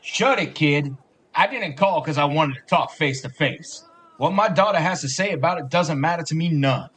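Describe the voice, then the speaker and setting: Man acting a husky, gruff police sergeant. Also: a little high, animated, moderate pace, fairly bright tone. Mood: stern, irritated. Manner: folksy, blunt, calls younger men kid and bub. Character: gruff, fatherly older police sergeant, blunt but caring. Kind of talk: audio drama acting.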